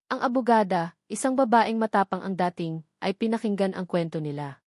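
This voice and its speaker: A woman's voice: mid-range voice, fairly quick, darkish tone, no echo. A woman narrating a dramatic audio love story in an earnest storytelling way, close to the mic.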